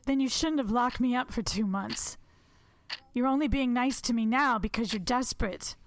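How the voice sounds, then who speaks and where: A woman's voice: a little high, normal speed, no room sound, close to an everyday voice. An actress playing a haunted, defiant woman who is locked up, in an audio drama. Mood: defiant, bitter.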